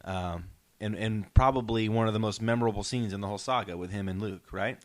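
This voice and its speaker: Podcast host: man with a lowish voice, casual and explanatory, encouraging his co-hosts along. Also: brisk.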